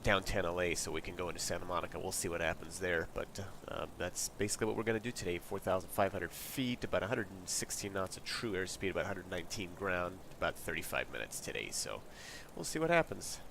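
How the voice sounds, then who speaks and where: Man, congested, very low voice, relaxed rambling narration, noisy desk mic recording. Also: fast, creaky, few pauses. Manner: relaxed, rambling, reads checklist items aloud. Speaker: flight sim streamer. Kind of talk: casual walkthrough narration.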